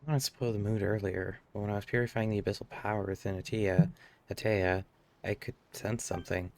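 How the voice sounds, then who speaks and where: A man's voice: on the low side, level tone, brisk, creaky. A man live streaming a game, reading dialogue aloud and adding casual commentary. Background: game music.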